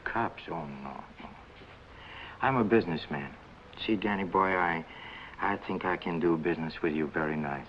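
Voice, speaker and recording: A man in a 1950 film noir playing a sly, oily blackmailing businessman, halting and repeating himself with mock friendliness. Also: low-pitched, a bit bright. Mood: sly, insinuating. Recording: noisy old film soundtrack.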